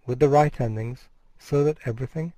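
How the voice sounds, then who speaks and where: A middle-aged man delivers a university lecture in a steady, explanatory way, now and then breaking off a word to restart it. His voice sits at an ordinary pitch with a soft, mellow tone. The sound is close and dry, with no room around it. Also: no room sound. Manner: measured, explanatory, restarts words mid-phrase. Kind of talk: recorded course lecture.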